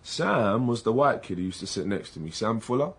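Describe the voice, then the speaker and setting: An actor playing a wry, patient young police officer in a naturalistic radio drama, dry and measured. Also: male voice, fairly low pitch, very animated, breathy edge, exaggerated voice. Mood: patient, wounded.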